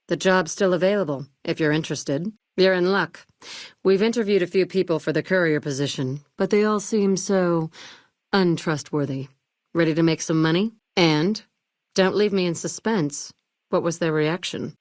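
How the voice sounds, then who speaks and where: Woman acting a ruthless crime-family businesswoman, video game dialogue, low pitch, curt, condescending, dry close recording. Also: no room sound, close to an everyday voice.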